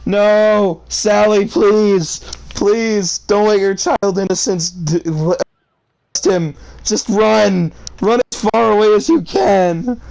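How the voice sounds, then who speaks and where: A man's voice: sits high, fairly even intonation, slow, creaky, darkish tone, no room sound, rough recording. A man reading a story aloud and reacting to it with theatrical, exclamatory commentary and sarcastic asides. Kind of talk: live read-aloud with outraged commentary.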